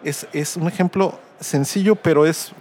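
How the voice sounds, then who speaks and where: Male interviewer, fairly high voice, stop-start delivery, faint background hum. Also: darkish tone. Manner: halting, many restarts and fillers. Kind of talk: casual tech interview chat.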